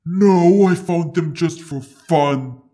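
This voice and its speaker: A narrator voicing a slow, haughty, mellow-toned dragon. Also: mid-range voice, natural voice. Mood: cross, sarcastic. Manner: imperious, haughty, stilted formal speech. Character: huge proud possessive dragon, easily offended, formal.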